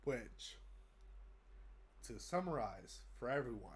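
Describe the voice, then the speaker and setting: A congested young man from the United States, stuffy from a cold. He talks to the camera in a loose, rambling way, with plenty of ums, uhs and restarts, and his pitch sits in an ordinary middle range. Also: very animated, slow. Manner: rambling, many fillers and restarts. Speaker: vlogger. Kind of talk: casual update talk to camera.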